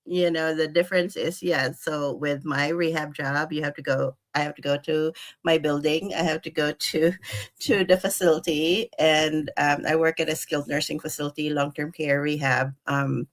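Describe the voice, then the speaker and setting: A woman in her forties, originally from the Philippines, talks as a guest in a relaxed chat. Her voice sits low, and she fills her talk with ums and quick laughs. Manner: chatty, laughs often, many fillers. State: unwell. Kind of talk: casual chat.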